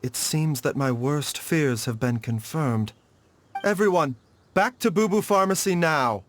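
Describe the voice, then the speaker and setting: A man playing a gentle, courteous doctor of herbal medicine in a video game, refined and polite in manner. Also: a little high, expressive, measured pace, slight background noise. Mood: grave, urgent. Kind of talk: video game character acting.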